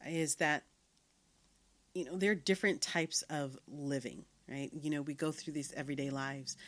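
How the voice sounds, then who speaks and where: A middle-aged woman hosts her podcast in a reflective solo talk. Her voice sits low, and she speaks earnestly, often pausing on fillers and restarting her sentences. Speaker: podcast host. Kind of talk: reflective casual monologue.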